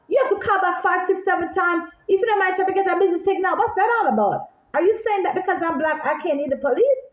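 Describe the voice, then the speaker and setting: Jamaican woman vlogger: rambling, animated, high-pitched, recorded in an echoey room. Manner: animated, repeats herself, talks straight to viewers. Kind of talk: casual rambling monologue to viewers. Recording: echoey room, phone-like sound.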